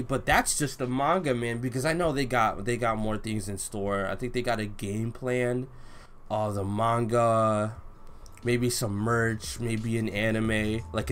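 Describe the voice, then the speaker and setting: A young man giving an excited, slangy, casual reaction commentary as he reads along, gushing as host. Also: medium pitch. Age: young adult. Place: home room with bookshelf, indoors.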